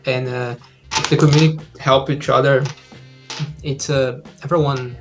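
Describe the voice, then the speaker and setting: A man's voice: mid-range voice, fairly even intonation, moderate pace. Brazil-born man, thirties, on a laptop call with faint music behind, easygoing and hesitant. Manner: hesitant, many fillers, easygoing. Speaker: indie game developer guest. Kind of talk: casual interview chat. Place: home room, indoors. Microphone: laptop video call.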